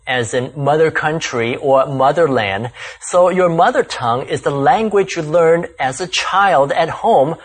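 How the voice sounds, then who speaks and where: A man whose first language is Chinese explains English words in a scripted lesson. His voice sits a little on the high side. He talks patiently and clearly, like a teacher.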